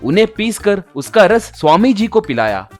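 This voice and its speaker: A man's voice: sits high, very animated, moderate pace, faint music behind. A man narrating a scripted cartoon moral tale as a storyteller.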